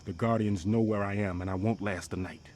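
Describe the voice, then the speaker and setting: A man voicing a hunted informant who speaks in hushed, hurried tones. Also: on the low side, steady tone, slight background noise, natural voice. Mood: urgent. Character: hunted man handing over a secret, urgent.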